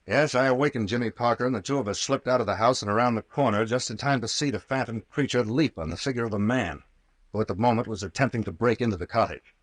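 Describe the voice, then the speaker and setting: An actor playing a tough, stern private investigator in an old-time radio drama, curt and commanding. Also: male voice, mid-range voice, fast talker, no echo, lightly acted. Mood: grim recounting. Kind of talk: 1940s radio acting.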